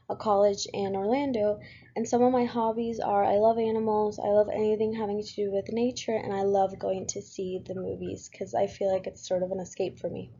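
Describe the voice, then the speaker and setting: A young woman from Puerto Rico, a college student, reading a class presentation in a steady, earnest way with a few fillers. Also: medium pitch, highs cut off, slight background noise. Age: young adult.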